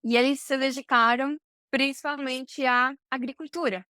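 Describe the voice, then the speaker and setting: High, clear woman. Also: fairly even intonation, normal speed, bright, forceful tone, no echo, studio quality. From Argentina, Buenos Aires. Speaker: podcast guest, supply chain professional. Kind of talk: conversational interview answers.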